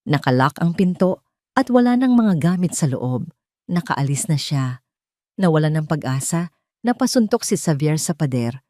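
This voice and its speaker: Low-voiced Filipino woman narrator. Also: very animated, moderate pace, warm tone, dry recording, clean studio sound. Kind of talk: scripted dramatic audio story narration.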